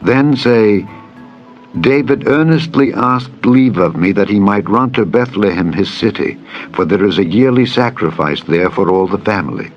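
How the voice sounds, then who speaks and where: A man voicing an anxious, pleading young fugitive in a dramatized scripture reading, speaking earnestly in solemn archaic phrasing. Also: low-pitched. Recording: dull lo-fi audio with music. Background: background music.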